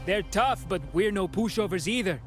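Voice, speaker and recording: A proud young mobster in a video game, his voice notably high for a man. He is hot-headed and urgent, always arguing his case, with music clearly behind him. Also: expressive, normal speed. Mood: defiant, indignant.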